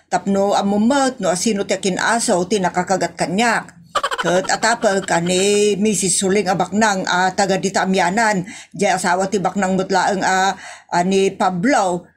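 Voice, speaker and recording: A woman's voice: medium pitch, natural voice. Woman playing a chatty, aggrieved older village woman, Ilocano accent. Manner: rambling, plaintive, drawn-out complaining. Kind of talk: Ilocano audio comedy drama.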